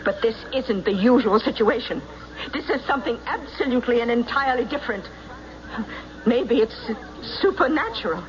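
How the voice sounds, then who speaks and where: An actress playing a distraught, defiant farm wife in an old radio drama, emphatic and quick to bristle. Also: female voice, on the high side, animated, close to an everyday voice. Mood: indignant. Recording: muffled vintage radio recording, faint noise.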